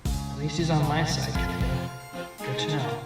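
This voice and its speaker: A man voicing a wry, sharp-witted fox defense attorney in a fan audio drama, insistent as he questions a witness. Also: fairly high pitch, measured pace, lightly acted. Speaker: defense attorney cross-examining. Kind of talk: fan audio drama acting with inner monologue. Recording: loud music under the voice. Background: loud music.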